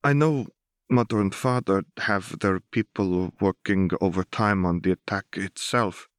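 A man voicing a tentative, soft-spoken young nobleman in an audiobook. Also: low voice, a little slow, no echo, clean studio sound.

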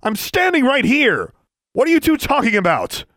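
A man's voice: mid-range voice, very animated, brisk, no room sound, clean studio sound, pitched above the performer's own voice. A man voicing a hurt, angry, headstrong girl in a story, insistent and emphatic. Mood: exasperated.

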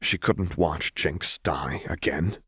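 A man with a low voice narrates dramatic fiction. He reads from a script as an audiobook narrator, recorded very dry with no room sound. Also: slow pace, a bit bright, husky, no room sound.

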